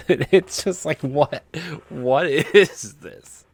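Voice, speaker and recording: A man co-hosting a podcast in casual chat, speaking in a hesitant, halting way. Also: a little high. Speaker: podcast co-host.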